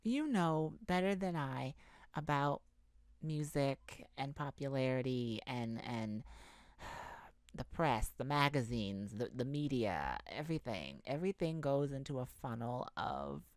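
A woman acting an exhausted, anxious pop star confiding haltingly in a bodyguard lover, in an intimate ASMR roleplay. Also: very deep voice, lively intonation, a little mellow, dry recording. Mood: tired, resigned. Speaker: audio roleplay performer.

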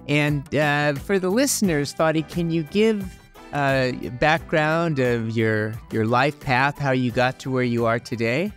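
An older man hosting an interview podcast, asking questions in a halting way with many filler words. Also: a little high, faint music behind. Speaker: podcast host. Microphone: podcast microphone on video call.

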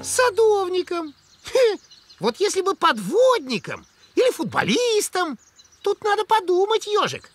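A cheeky, impulsive young cartoon rabbit with a very high voice. He bounces through exclamations with animated, swooping intonation. Loud music plays behind him. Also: unhurried, no room sound, full character voice. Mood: wistful, doubtful.

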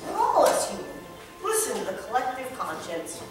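A woman's voice: high voice, wildly swooping pitch, normal speed. A woman on stage playing a placid, blissful prophet who chants aphorisms. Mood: blissful, chanting. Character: patient, blissful robed prophet of collective conscience. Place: indoor theater stage. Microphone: far camera. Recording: echoey theater from far off, loud music.

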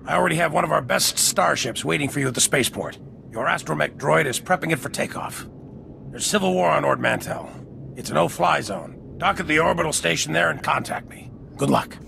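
Military commander for a game: deep-grained fry, resolute, earnest, authoritative, noisy ambience. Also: male voice, fairly quick, sharp tone, heavily acted. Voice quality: gravelly. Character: grim, determined male military general. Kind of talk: video game voice acting.

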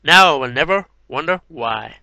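A man's voice: fairly high pitch, lively intonation, moderate pace, gravelly, sharp tone, no echo. A man in his thirties reading poetry as an amateur, with casual asides, into a headset mic in a small room. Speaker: amateur poetry reader and video maker. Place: small cluttered bedroom. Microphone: headset microphone.

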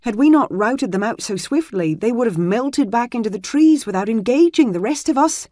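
Woman playing a young squire, frantic and breathless, pitched high, loud and urgent, storybook reading. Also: lively intonation, normal speed, no echo, studio quality. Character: frantic young male squire, barely bearded.

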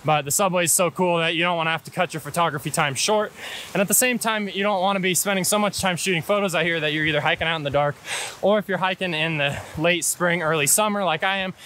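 A young American man with a fairly high, bright, forward voice explains things in an easygoing vlog style. He is outdoors on an action camera, with hiss and rushing water behind him. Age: 20s. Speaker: hiking and photography guide. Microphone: action camera at arm's length.

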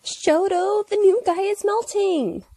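A woman voicing a cutesy, teasing, excitable young male villain boss in a comic dub, with gushing delivery and theatrical gasps. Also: very high-pitched, slow, no echo, soft background music, pitched above the performer's own voice. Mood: alarmed.

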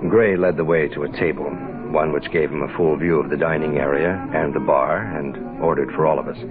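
An actor playing a cool, wry American private investigator, dry and hard-boiled, in 1960s radio noir. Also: male voice, a bass voice, fairly even intonation, a little fast. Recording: tinny lo-fi 1960s radio recording, music behind.

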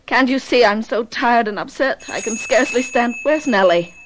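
A woman's voice: fairly high pitch, moderate pace, a little hiss or hum, natural voice, low-quality recording. An actress playing a willful, passionate young married woman in a radio drama, imperious and dramatic. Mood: anguished, weary.

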